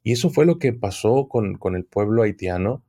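A man, a guest on a podcast, explaining at length in a measured, hesitant way. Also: fairly low pitch, lively intonation, warm tone. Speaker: guest occult expert. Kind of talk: podcast conversation.

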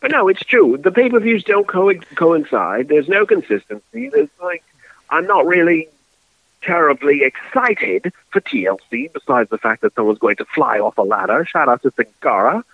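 A man's voice: fairly high pitch, big pitch swings, normal speed, no echo, narrow, tinny audio. A man co-hosting a casual wrestling podcast, joking with theatrical exclamations. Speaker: podcast co-host.

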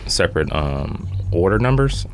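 A man chatting casually as a podcast guest, halting often as he thinks aloud. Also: sits low, very animated, a little hiss or hum. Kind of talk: casual chat. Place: podcast studio.

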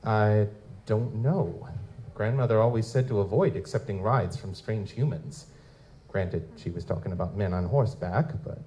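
Man playing a low-voiced, wry goblin-like private eye. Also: moderate pace, warm tone, a little hiss or hum, close to an everyday voice. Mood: guarded, casual. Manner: wisecracking, folksy hard-boiled storytelling. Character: wry, down-on-his-luck male lutin private investigator, street-smart. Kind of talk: live audio drama, first-person noir narration and dialogue. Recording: music behind the voice.

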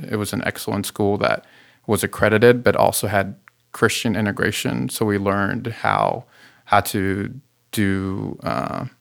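Guest, man, 30s: deep, slightly gravelly, easygoing and sincere storyteller. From Chile. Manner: earnest, relaxed storytelling with frequent fillers. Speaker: podcast guest, therapist and youth pastor. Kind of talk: casual interview talk.

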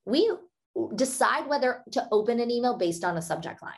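A woman, an author being interviewed, giving upbeat conversational advice in short brisk points and laughing often as she explains. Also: on the high side, lively intonation.

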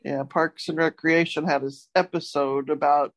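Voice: medium pitch, level tone, normal speed. A co-host chatting casually and with good humour while presenting words. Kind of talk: casual chat, presenting new dictionary words.

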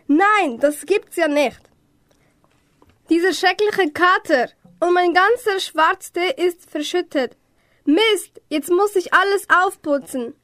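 A young woman playing a scatty, quick-tempered witch in a children's amateur radio play. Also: very high-pitched, a bit bright, dry recording, clean studio sound, exaggerated voice. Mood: exasperated.

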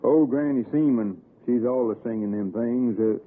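A man's voice: medium pitch, moderate pace, close to an everyday voice. An actor playing a stern, sinister hillbilly father with an Appalachian accent and a laconic, folksy menace in 1960s radio suspense. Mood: folksy coaxing. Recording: tinny, noisy old radio broadcast.

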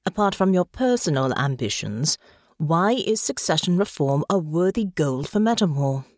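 A composed, unyielding countess, voiced by a woman, quiet and measured in a lowish voice. She questions calmly and without warmth. Dry, close sound. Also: dry recording. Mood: cool, probing. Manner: calm, quiet, measured, probing. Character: cool, unyielding noble countess.

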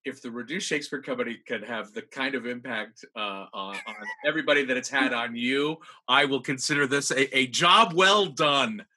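A man's voice: medium pitch, moderate pace, pushed and bright. A man casually interviewing a guest for a podcast.

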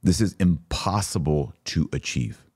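Deep-voiced man, sarcastic commentator. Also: measured pace, a little mellow, husky, dry recording, studio quality. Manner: sarcastic, emphatic. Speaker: podcast host. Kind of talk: commentary with document read aloud.

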